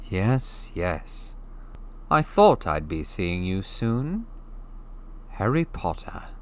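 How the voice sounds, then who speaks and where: A man voicing an eerie old male wandmaker who speaks softly and deliberately. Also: low-pitched, sing-song, slight background noise, heavily acted. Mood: musing. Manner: soft, deliberate, drawn-out delivery.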